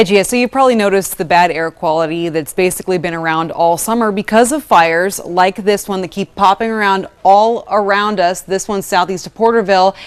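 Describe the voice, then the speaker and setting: A woman's voice: medium pitch, clear, resonant tone, no room sound. A woman with an American accent reporting for television news in a broadcast style.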